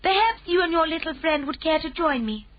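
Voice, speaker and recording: A woman's voice: very high-pitched, animated, highs cut off, pitched above the performer's own voice. A woman voicing a composed, gracious queen speaking in a raised, poised, proper voice. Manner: poised, measured, proper speech.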